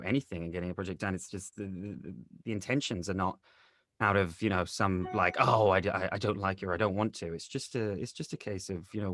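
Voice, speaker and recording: Male panel guest, deep voice, very dry sound, hesitant and self-correcting in casual conversation. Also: fairly bright tone, no room sound. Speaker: interview guest, audio drama team member. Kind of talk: casual interview anecdote.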